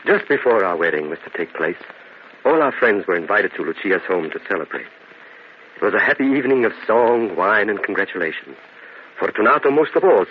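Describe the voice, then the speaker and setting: A man's voice: on the low side, close to an everyday voice. An actor playing an earnest, theatrical Italian nobleman in an old-fashioned radio drama. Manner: earnest, theatrical, formal wording. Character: embittered Italian nobleman narrating, once a lovestruck, trusting young man. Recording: muffled, hissy old radio drama recording.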